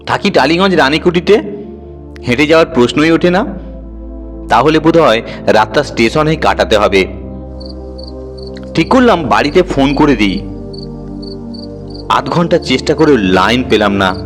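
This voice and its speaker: A man narrating a horror story in the first person with an expressive, dramatic storytelling delivery. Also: medium pitch, moderate pace, long pauses. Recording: music bed under the voice. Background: music.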